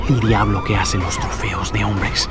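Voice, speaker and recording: A man's voice: lowish voice, little pitch movement, moderate pace, lightly acted. A man playing a cowardly, fawning street gangster, servile and stammering, in a game cutscene. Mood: nervous. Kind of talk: dramatic video game cutscene acting. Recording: background music under the voice.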